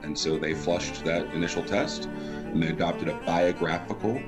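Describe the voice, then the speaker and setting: A man speaking as a lawyer, explaining a case in a measured, formal way. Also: low voice. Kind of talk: formal statement. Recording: loud guitar music behind.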